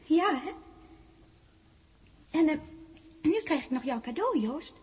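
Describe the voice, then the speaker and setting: Casting: dreamlike young woman of memory, high soft-toned voice, tender and teasing, vintage radio play. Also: lively intonation, slow pace. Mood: playful, coaxing. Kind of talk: 1960s radio drama acting.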